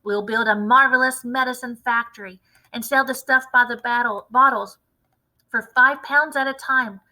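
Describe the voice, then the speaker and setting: A woman voicing a wildly excited, impatient, domineering farmer father in a storybook read-aloud, exclamatory and emphatic. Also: on the high side, moderate pace, low-quality recording. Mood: excited, urgent.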